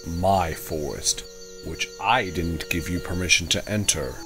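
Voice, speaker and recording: An actor playing a suspicious, guarded druid who tends a forest, curt and formal in an audio drama. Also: male voice, low voice, unhurried, music in the background. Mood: wary.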